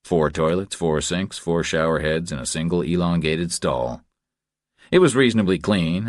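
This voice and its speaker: A man's voice: low voice, dry recording, clean studio sound. A man reading a thriller novel as scripted audiobook narration.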